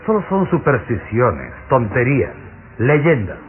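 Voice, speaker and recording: Sinister count with sweeping intonation. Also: male voice, medium pitch, huge pitch swings. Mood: smoothly dismissive. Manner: suave, measured, commanding. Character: sinister aristocratic count, suave, menacing master of a castle. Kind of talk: old radio serial acting. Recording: tinny lo-fi old radio serial, music behind.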